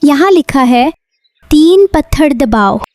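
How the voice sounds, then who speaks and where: Woman as a high-voiced, brave, sensible girl. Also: expressive, slow pace, darkish tone, no room sound. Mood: purposeful. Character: sensible, brave girl leading a treasure hunt. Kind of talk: children's cartoon story acting.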